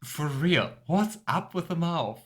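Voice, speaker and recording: A man's voice: a little high, animated, slow. A man hosting a let's play, giving casual, amused gameplay commentary with rambling asides. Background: game music.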